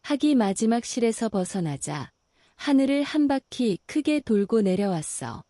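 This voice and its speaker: Female storyteller for a bedtime story, mellow and soothing, melodic delivery, dry close sound. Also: a little high, big pitch swings, no echo. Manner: slow, soothing and gentle. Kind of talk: calm bedtime story narration.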